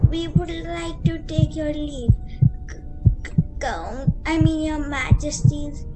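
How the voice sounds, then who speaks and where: A woman acting a frightened, polite young girl in an amateur radio play, halting and nervous. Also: high-pitched, fairly even intonation, loud music behind the voice, rough recording. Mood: nervous politeness.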